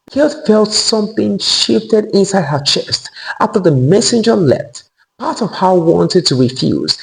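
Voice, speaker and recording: A man's voice: sits high, gravelly, rough recording. A man narrating a scripted folktale as a storyteller.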